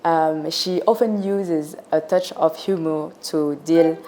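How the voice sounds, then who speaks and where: A woman's voice: deep voice, fairly even intonation, mellow, a little hiss or hum. Giggly French woman hosting an interview. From France. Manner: slow and giggly, laughs often. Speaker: host. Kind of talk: casual interview.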